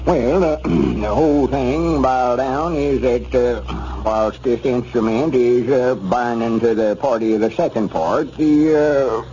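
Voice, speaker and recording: A man playing a pompous, self-important homespun legal expert in 1930s radio comedy, halting, with a rural Arkansas accent. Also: medium pitch, mellow, lightly acted. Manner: pompous, halting, throat-clearing, fumbling big words. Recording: muffled, lightly noisy 1930s radio recording.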